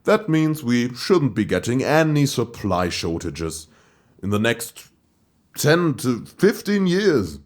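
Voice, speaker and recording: A man's voice: mid-range voice, sing-song, slow. A man acting in an audio play as a distracted, breezy boss who speaks hesitantly and is easily sidetracked. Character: distracted, breezy male boss at a moon colony office.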